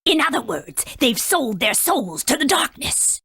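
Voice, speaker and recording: Haughty old wise woman sage for a game, husky, takes her time, very swoopy. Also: sits high, very animated, sharp tone, dry recording, full character voice. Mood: grave, explaining. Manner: haughty, formal, deliberate. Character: proud aged female great sage, stern yet kindly. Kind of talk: video game voice acting.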